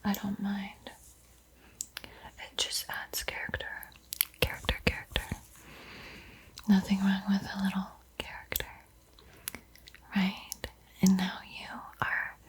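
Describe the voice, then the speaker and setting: A woman playing a gentle vampire art teacher in a whispered ASMR painting roleplay, soothing and unhurried, lingering over words. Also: mid-range voice, monotone, lightly acted. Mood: calm, encouraging. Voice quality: breathy, mostly unvoiced whisper.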